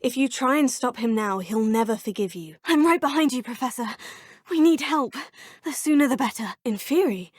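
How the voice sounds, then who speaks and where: A young woman voicing a polite, composed female student witch in a video game, speaking in a measured, formal way. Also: a little high, animated, slightly rough, dry recording, clean studio sound. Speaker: player character.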